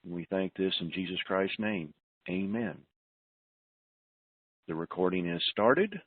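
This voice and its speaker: A man speaks over a thin, narrowband phone line, hosting a conference call. His delivery is slow and deliberate, with a fairly low voice, as he gives plain, informal announcements. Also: breathy edge, no echo. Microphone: telephone.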